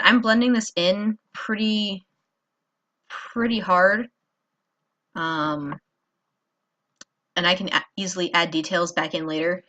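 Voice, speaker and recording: A woman's voice: fairly low pitch, fairly bright tone. A tired woman hosting a casual drawing tutorial, rambling with many fillers and apologizing as she works. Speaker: art tutorial host.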